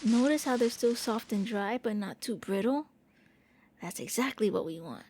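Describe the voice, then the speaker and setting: A woman voicing a gentle, shy vampire prince in an intimate ASMR roleplay, speaking softly and a little hesitantly. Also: on the high side, lively intonation, normal speed, a little hiss or hum, natural voice. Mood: warm, eager. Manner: gentle, hesitant, intimate, stammering when flustered. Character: gentle, shy, eager young-looking immortal vampire prince. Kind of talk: soft ASMR roleplay monologue.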